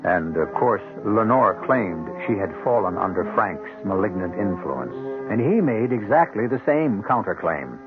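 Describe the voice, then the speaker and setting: A man hosting and narrating a 1970s radio mystery in a dramatic, deliberate, ominous scripted style. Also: fairly low pitch, big pitch swings, phone-quality sound. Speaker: host and narrator. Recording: thin vintage radio recording with music.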